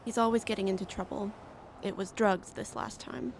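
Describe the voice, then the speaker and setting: A sullen, defeated daughter , played by a woman, speaks with heavy creak at a medium pitch. Her lines are terse and resigned, as radio drama, with faint traffic noise behind. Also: fairly even intonation, moderate pace, close to an everyday voice. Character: sullen, defeated youngest daughter of troubled family. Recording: faint outdoor roadside noise.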